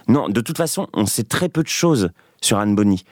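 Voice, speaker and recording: A man's voice: on the low side, lively intonation, normal speed, background noise, close to an everyday voice. An actor playing a pedantic, dismissive male historian with an exasperated, condescending manner, in naturalistic audio drama dialogue.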